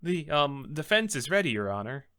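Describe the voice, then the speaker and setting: A man's voice: fairly high pitch, sing-song, moderate pace, pushed and bright, clean tone, heavily acted. A man playing an earnest, nervous young rookie defense lawyer in a game script, hesitant in his delivery. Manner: hesitant, stammering.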